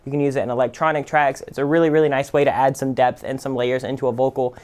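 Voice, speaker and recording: A man giving a casual, conversational software tutorial as the host. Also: medium pitch, little pitch movement, quick pace, smooth. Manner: informal, conversational. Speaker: music production tutorial host. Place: indoors at a computer.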